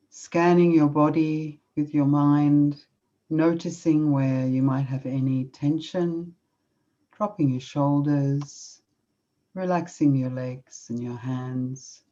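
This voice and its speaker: A woman with an Australian accent, speaking from a video call as a guest speaker and leading a gentle, soothing guided meditation. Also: low-pitched, lo-fi sound.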